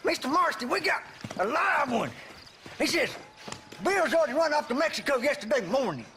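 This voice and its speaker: A man's voice: extremely high pitch, big pitch swings, normal speed, sharp tone, slight background noise, full character voice. A man playing a rowdy, whooping frontier gunman in a western game. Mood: excited.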